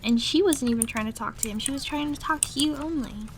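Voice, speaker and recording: Woman acting as a sulky, creaky-voiced jealous gamer girlfriend. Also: fairly high pitch, fast talker, close to an everyday voice. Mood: jealous, upset. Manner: pouty, grumbling, irritated. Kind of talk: roleplay audio, half-improvised casual talk. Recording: noisy room, clattering computer keyboard. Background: loud keyboard typing and background noise.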